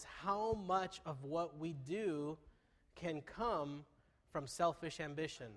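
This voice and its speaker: Man, pastor, sermon, stuffy nasal voice, fairly high pitch, reverberant church hall. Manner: conversational, self-deprecating humor. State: congested, nasal. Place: church sanctuary.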